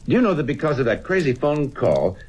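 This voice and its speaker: A man's voice: fairly high pitch, big pitch swings, a little fast, darkish tone, slightly rough. An actor playing an aggrieved, self-righteous and devious man in a theatrical old-time radio drama style. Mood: indignant. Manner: theatrical, indignant.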